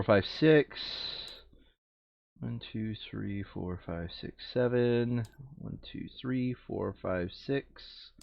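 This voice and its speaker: A man's voice: mid-range voice, no room sound, lo-fi sound. A man chatting casually as he hosts a board game, thinking aloud and often correcting himself as he goes. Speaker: board game player and video host. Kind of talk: casual chat while sorting game cards.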